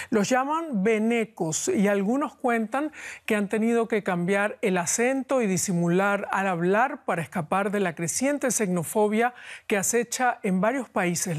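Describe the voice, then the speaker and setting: A woman narrating a scripted news report in a measured, formal way. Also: mid-range voice.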